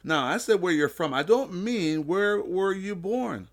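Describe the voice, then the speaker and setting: A man's voice: high voice, lively intonation, a little slow. A man giving an emphatic, preacher-like motivational talk on faith as a podcast host. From Jamaica. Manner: emphatic, preacher-like, illustrates with simple analogies.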